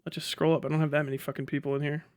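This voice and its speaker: A man's voice: on the high side, little pitch movement, very fast, darkish tone. A man doing casual livestream commentary, swearing and muttering to himself at a close mic. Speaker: livestream host reacting to a video.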